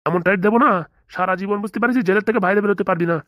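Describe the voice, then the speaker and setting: A man's voice: high voice, very fast, no echo, dull audio, lightly acted. A man voicing a bullying, foul-mouthed cartoon police commissioner with a blustering, threatening manner. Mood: furious. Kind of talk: fast cartoon comedy dialogue.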